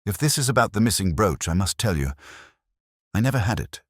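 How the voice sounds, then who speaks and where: A man's voice: fairly low pitch, big pitch swings, a little fast, dry recording, studio quality, voice pushed up. A man voicing a defensive, haughty suburban leading lady in a mystery story, prim and clipped.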